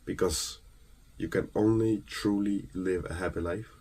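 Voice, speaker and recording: A man from Ukraine delivers an earnest, emphatic motivational monologue, halting between phrases and posing rhetorical questions. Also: sits low, steady tone, dark tone. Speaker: motivational speaker.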